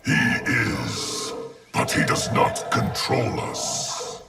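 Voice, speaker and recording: An actor voicing a menacing collective of many souls in a fighting game, solemn, with a raspy, breathy growl. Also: male voice, lowish voice, sing-song, extremely slow, distant mic, over loud music, exaggerated voice. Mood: grave. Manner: solemn, speaks as "we". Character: menacing hive of many souls speaking as one being. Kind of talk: video game fighter dialogue.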